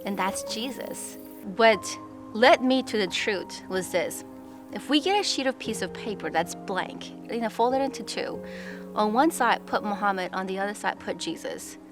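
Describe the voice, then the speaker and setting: A woman's voice: medium pitch, animated, moderate pace. A young woman from Pakistan giving an earnest, reflective personal testimony. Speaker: testimony speaker. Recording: music bed underneath.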